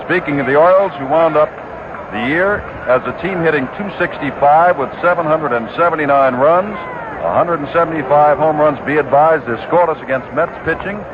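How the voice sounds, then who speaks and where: High-voiced radio baseball announcer. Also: male voice. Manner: brisk, matter-of-fact calling of plays. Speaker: play-by-play announcer. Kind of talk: live radio baseball commentary. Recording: tinny, hissy 1969 radio broadcast.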